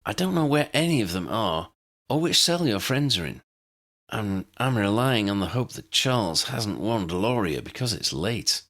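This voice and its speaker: An earnest young policewoman of an audiobook story, acted out by a male narrator, her voice lifted well up from his own. She is determined yet respectful, with a bright, pressing edge. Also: on the low side, sing-song, moderate pace, pitched above the performer's own voice. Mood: urgent, determined. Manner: hesitant, deferential to superiors. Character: earnest, determined young female law-enforcement agent.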